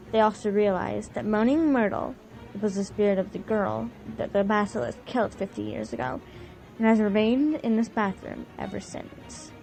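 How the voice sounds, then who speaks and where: A woman's voice: fairly high pitch, vocal fry, dark tone, poor audio. A woman narrating a scripted recap of an audio drama story. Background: faint music.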